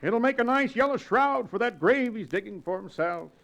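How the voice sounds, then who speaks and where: A man's voice: high voice, animated, moderate pace, gravelly, fairly bright tone, exaggerated voice. A man playing a flamboyant older frontier sidekick, florid and mocking, in an old-time radio Western. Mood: gleefully mocking. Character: flamboyant older male sidekick, comic snake-oil peddler.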